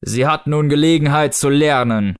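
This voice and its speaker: A man reading a storybook as Death posing as a slow, matter-of-fact, literal-minded Father Christmas. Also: fairly high pitch, clean tone, dry recording, clean studio sound, close to an everyday voice. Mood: stern, unbothered.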